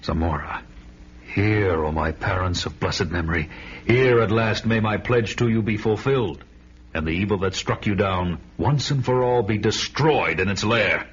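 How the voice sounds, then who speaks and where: Man playing a low, solemn barbarian hero. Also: moderate pace, slight background noise, natural voice. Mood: solemn, vowing. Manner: solemn, archaic, theatrical delivery. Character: grim, bold barbarian warrior recounting his vengeance quest. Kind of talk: fantasy radio drama narration and dialogue. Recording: dull radio recording with loud music.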